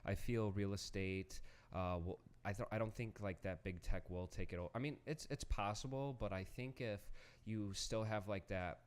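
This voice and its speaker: Low smooth-voiced podcast host. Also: male voice. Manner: halting, full of "you know" and "um", casually profane. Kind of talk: casual podcast chat.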